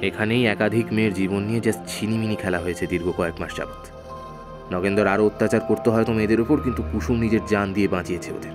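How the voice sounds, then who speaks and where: An actor playing a doubtful, troubled young man in a horror audio drama, speaking gravely and hesitantly. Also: lowish voice, fairly even intonation, quick pace, lightly acted. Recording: clear music bed behind voice.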